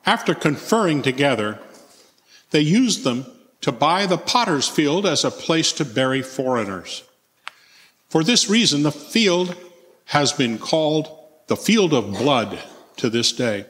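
A man's voice: fairly high pitch, big pitch swings, unhurried, a bit bright. A man reading a Bible narrative aloud as the narrator at church. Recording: long church reverb.

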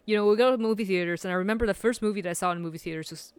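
A woman's voice: mid-range voice, very fast. A woman from Taiwan speaking as a podcast guest in a thoughtful, reflective way. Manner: thoughtful, reflective, with frequent fillers and restarts. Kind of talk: casual conversational podcast.

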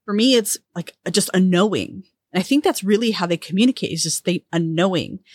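A woman with a low voice, talking things through slowly and thoughtfully as a podcast co-host. The sound is dry and close, with no room around it. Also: slightly rough, no echo. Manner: reflective, searching for words. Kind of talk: casual conversation.

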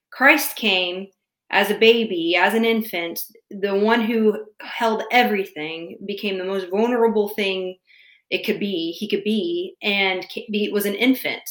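Thirtysomething woman thinking out loud, kinda halting, roomy echo. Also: medium pitch. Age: 30s. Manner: reflective, searching, halting. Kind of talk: casual faith conversation.

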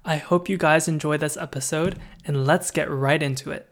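A man's voice: fairly high pitch, fairly even intonation, normal speed, a bit bright. A man hosting a podcast interview, upbeat and eager as he introduces his guest and asks questions. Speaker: podcast host.

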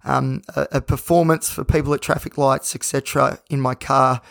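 A hoarse man hosting his own podcast, talking in a rambling, candid and self-reflective way. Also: medium pitch, level tone, moderate pace, a bit bright, dry recording, studio quality. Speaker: podcast host. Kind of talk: casual solo podcast monologue.

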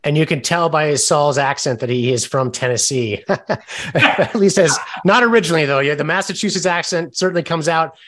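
A man's voice: fairly high pitch, moderate pace, a bit bright. A man hosting a podcast, interviewing in a casual, conversational way with chatty, affirming remarks.

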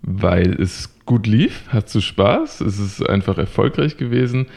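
A man with a deep, gravelly voice hosts a conversational podcast. His tone is dark and mellow, and he strings his questions together in long, easygoing runs. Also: animated, measured pace. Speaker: podcast host.

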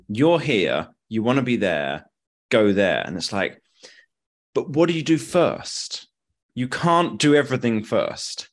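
A male podcast host with a fairly low voice that swings widely in pitch. He is enthusiastic in the conversation and sums up his guest's points back to him to check that he has them right. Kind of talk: podcast interview.

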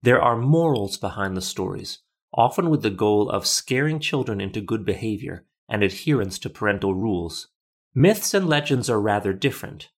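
A man hosting a podcast, reading scripted documentary narration in a measured, literate, explanatory way. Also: on the low side.

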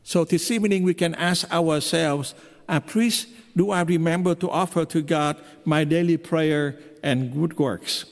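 A man with a Vietnamese accent, preaching a homily as a priest, pausing often with fillers. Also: fairly high pitch. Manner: halting, with frequent fillers. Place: church. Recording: long hall reverb in a church.

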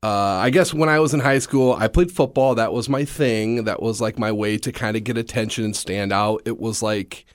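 A man's voice: fairly low pitch, moderate pace, few pauses, dry recording, studio quality. A man, a stand-up comedian, chatting in an interview with a slightly scratchy voice, self-deprecating and often laughing. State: slightly scratchy voice after a long day. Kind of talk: casual interview chat.

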